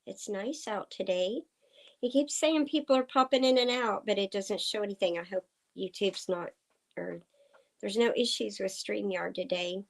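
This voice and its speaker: A woman from Oklahoma hosting a casual livestream chat with viewers. Her voice is slightly hoarse. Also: mid-range voice. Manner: slow, chatty, talking to viewers. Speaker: livestream host. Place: farm home indoors. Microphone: computer streaming setup.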